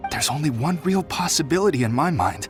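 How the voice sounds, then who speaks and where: A man's voice: on the high side, expressive, brisk, natural voice. An actor playing a knowing, gossipy townsman in a video game, chatty and opinionated. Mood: conspiratorial. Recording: prominent background music.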